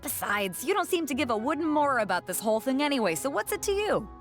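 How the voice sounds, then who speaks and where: A woman's voice: high voice, big pitch swings, quick pace, faint music behind. A woman playing a feisty, breezy seafaring captain who talks casually and bluntly in a fantasy game. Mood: irritated. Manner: casual, direct. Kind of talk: anime fantasy game voice acting.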